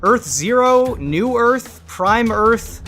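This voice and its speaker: A man's voice: very high-pitched, lively intonation, slow pace, sharp tone, faint music behind, pitched above the performer's own voice, heavily acted. A man voicing a breezy, forgetful mortal woman who rambles casually. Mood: breezy feigned innocence.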